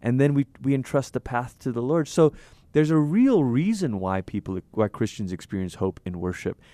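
A man from Malaysia, a pastor, talking in a lively, thoughtful way in an interview and laughing easily. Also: mid-range voice, no echo. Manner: animated, thoughtful, quick to laugh. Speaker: pastor and author. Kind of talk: conversational interview.

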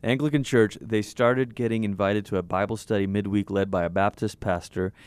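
A man from Malaysia, a pastor, talking in a lively, thoughtful way in an interview and laughing easily. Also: lowish voice, clean tone, no echo. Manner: animated, thoughtful, quick to laugh. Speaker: pastor and author. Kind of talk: conversational interview.

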